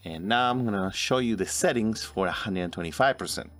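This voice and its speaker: A man's voice: on the low side, fairly quick, fairly bright tone. A man in his forties narrating a video editing tutorial step by step as host. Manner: step-by-step, instructional. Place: indoor room at a computer. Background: music.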